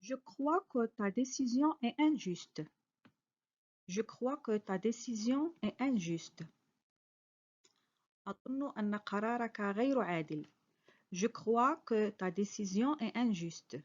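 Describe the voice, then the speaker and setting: High-voiced teacher woman drilling phrases. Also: animated, unhurried, long pauses, darkish tone, highs cut off. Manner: careful, clearly spoken model sentences, each repeated. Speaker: French teacher. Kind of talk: slow repeated phrase drills with quick translations.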